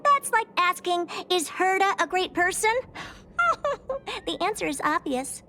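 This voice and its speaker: A woman's voice: very high voice, very animated, slow pace, sharp tone, a little hiss or hum, full character voice. A woman voicing a smug young genius girl scientist in a video game, haughty and offhand. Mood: breezy, conceited. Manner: haughty, self-satisfied, offhand. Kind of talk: scripted video game voice acting. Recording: game dialogue with music behind.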